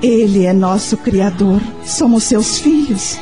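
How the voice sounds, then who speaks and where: A woman's voice: mid-range voice, slow, warm tone, faint music behind, close to an everyday voice. An actress with a Brazilian accent playing a devout, earnest mother searching for answers in a radio soap opera. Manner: earnest, questioning. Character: devout, troubled young mother seeking answers.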